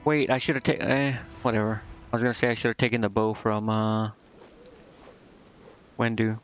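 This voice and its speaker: A man's voice: lowish voice, flat delivery, normal speed, dull audio. A man giving casual gameplay commentary, indecisive and muttering to himself as he streams. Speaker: game streamer. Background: game music.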